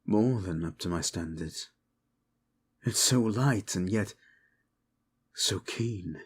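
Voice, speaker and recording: A man playing a humble, soft-spoken knight captain in a fantasy audio drama, hesitant and deferential. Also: low voice, slow pace, breathy edge, exaggerated voice. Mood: awed. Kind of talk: fantasy audio roleplay acting.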